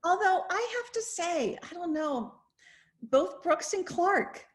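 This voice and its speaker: A woman's voice: very high-pitched, lively intonation, takes it slowly. A woman chatting informally and effusively as she hosts a discussion over a video call. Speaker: host, English professor leading a discussion. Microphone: video call microphone.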